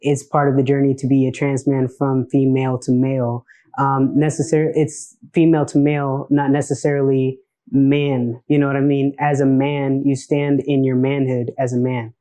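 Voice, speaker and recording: A man's voice: mid-range voice, level tone, normal speed, warm tone. A man on a discussion panel talking casually and often saying "you know". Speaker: panel guest.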